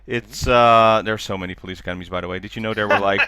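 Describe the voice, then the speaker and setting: A man chatting casually as a podcast co-host, telling things in a halting, joking way. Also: on the low side, steady tone, background noise. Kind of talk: casual chat.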